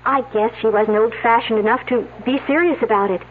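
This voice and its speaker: A woman's voice: fairly high pitch, brisk, a little hiss or hum, close to an everyday voice. An actress playing a flippant young female student who answers in an offhand, dismissive way, in a radio drama. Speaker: student at a dig. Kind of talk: 1970s radio drama acting. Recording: tinny old radio broadcast.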